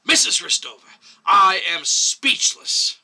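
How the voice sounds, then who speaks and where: Stern, exasperated male committee chairman, played by a male story reader: highish, very forceful, drawn-out emphasis, interrogating. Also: sing-song, gravelly, breathy edge, full character voice. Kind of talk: dramatic short story reading.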